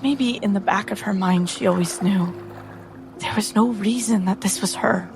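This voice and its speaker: A woman's voice: mid-range voice, normal speed, vocal fry. A woman playing a tabletop game, narrating her character dramatically in the third person in broken phrases. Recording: music behind the voice.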